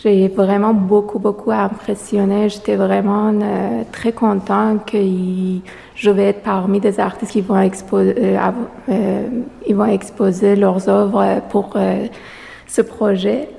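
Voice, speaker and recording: A woman artist speaking French as a second language, answering interview questions slowly with many fillers and restarts, recorded in a gallery. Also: mid-range voice, little pitch movement, gravelly, warm tone. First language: not French. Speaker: exhibiting artist. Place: art gallery. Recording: long reverb of a gallery room.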